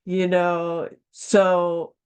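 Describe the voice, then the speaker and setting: A woman's voice: on the low side, completely flat delivery, drawn-out words, darkish tone, smooth. An American woman giving an informal training lesson, speaking plainly as an instructor and repeating key points for emphasis. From United States. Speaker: instructor of a training course for school founders.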